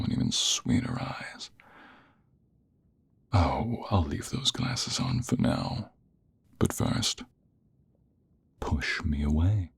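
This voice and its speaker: A man acting out a smug, flirtatious office rival for an ASMR romance. His voice is extremely deep and very husky, often sinking into a breathy half-whisper. He takes his time, teasing and seducing with dramatic pauses. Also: a bit bright, heavily acted. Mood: intense, seductive. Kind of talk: ASMR romantic roleplay, close and intimate.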